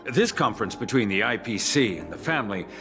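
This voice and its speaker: A man's voice: lowish voice, sing-song, brisk. An actor playing a polite male corporate subordinate who briefs his boss deferentially in a video game, with music behind. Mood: deferential briefing. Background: background music.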